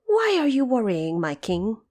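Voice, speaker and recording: A woman's voice: fairly high pitch, wildly swooping pitch, a little slow, a little mellow, pitched above the performer's own voice, full character voice. A woman voicing a devoted, carefree monkey subject in a storybook drama, exclaiming theatrically. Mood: concerned.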